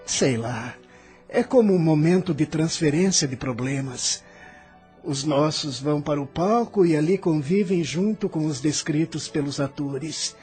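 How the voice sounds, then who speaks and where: A man's voice: on the high side, a little slow, a little mellow, soft background music, close to an everyday voice. A man with a Brazilian accent playing a bitter, bewildered, philosophizing soul newly arrived in the afterlife, in a theatrical audio drama. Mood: musing, wry.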